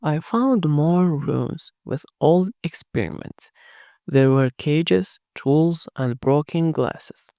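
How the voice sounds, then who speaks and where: A narrator telling a first-person horror story in a hushed, dramatic scripted reading. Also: medium pitch, slow pace, no echo, studio quality.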